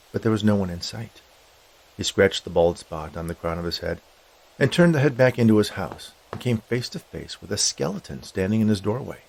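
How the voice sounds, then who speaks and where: A male storyteller narrates a comic spooky tale. His voice sits fairly low, with heavy gravel and a husky grain, and his reading moves animatedly up and down. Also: rough recording.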